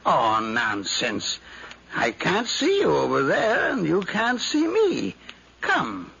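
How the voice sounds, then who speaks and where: An actor playing a fussy, domineering older uncle, querulous and deliberate, in the style of 1950s radio drama. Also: male voice, high-pitched, wildly swooping pitch, gravelly, sharp tone, breathy edge, full character voice. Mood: insistent. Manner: deliberate, insistent, peevish. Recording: muffled, faintly hissy 1951 radio recording.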